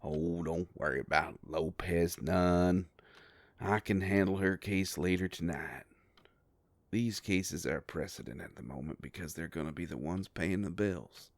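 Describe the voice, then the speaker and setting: A man playing a kindly, protective werewolf private investigator in an intimate audio drama, with a gravelly voice and an easygoing, folksy way of talking. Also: sits low, unhurried, no echo. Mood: reassuring, businesslike.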